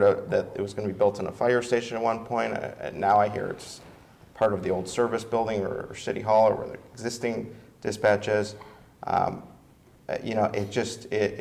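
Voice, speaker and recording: An American man with a lowish, gravelly voice answers informally as a council candidate. He is halting and tentative, with faint room noise behind him. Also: darkish tone.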